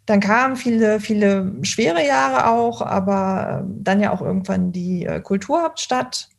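A woman in her thirties speaks as an interview guest, relaxed and talkative, with a gravelly texture at the bottom of her voice. She circles back and restarts her sentences, filling the pauses with ums. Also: mid-range voice. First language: German. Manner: chatty, many fillers and restarts. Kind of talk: casual conversational interview.

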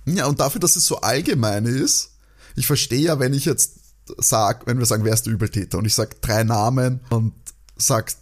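Casting: male podcast co-host, Austrian accent, mid-pitched voice, casual, likes to joke and argue his case. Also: normal speed. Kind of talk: casual banter.

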